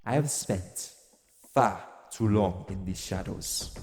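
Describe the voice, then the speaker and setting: A man playing an exiled god of vengeance in an audio roleplay, theatrical and deliberate, coaxing and taunting with dark amusement. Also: fairly low pitch. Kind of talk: dramatic audio roleplay monologue to the listener. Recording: long cavernous reverb. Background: music.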